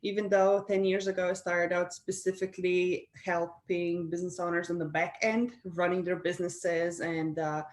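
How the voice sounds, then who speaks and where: A middle-aged woman speaks English with a Slovenian accent, her voice sitting a little low for a woman. She talks reflectively, restarting phrases and laughing lightly as she shapes her thoughts. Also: level tone, normal speed, few pauses. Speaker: guest speaker. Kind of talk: conversational interview answer.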